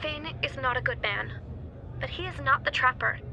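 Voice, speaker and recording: An actress playing an earnest, persistent defense lawyer in a crime thriller game. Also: female voice, high voice, normal speed, vocal fry, noisy background. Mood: earnest, pleading. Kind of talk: naturalistic dramatic game acting. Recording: background music under the voice.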